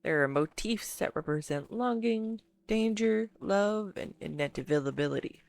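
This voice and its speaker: A woman playing an excitable, affectionate girlfriend in a scripted roleplay, earnestly info-dumping to her listener with halting pauses. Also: medium pitch, gravelly, dry recording. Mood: soft, reflective. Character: excitable, affectionate neurodivergent girlfriend gushing over her favourite film. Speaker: amateur voice actor. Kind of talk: scripted roleplay audio monologue spoken to a listener.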